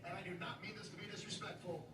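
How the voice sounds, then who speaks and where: A man doing wrestling ring announcements and commentary in a booming, formal broadcast delivery. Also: fairly even intonation, very fast, roomy sound, distant mic, very noisy.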